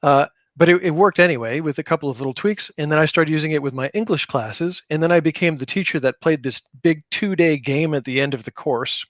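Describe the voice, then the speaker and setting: Higher-voiced man chatting in a dry room. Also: fairly quick, hardly any pauses, no room sound. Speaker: podcast guest, game creator; high school teacher. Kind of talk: casual interview chat, anecdotal storytelling.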